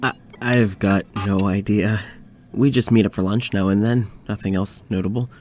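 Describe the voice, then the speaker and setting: A man playing an uneasy, weary scientist in an audio drama, confiding to a recorder in a halting way. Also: fairly low pitch, steady tone, close to an everyday voice. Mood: guarded, uneasy. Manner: hesitant, halting, sighing. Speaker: fiction podcast lead actor. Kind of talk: audio drama, confessional monologue.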